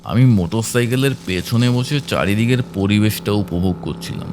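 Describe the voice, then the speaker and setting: A man's voice: lowish voice, moderate pace, noisy background. A man narrating a scripted first-person horror story.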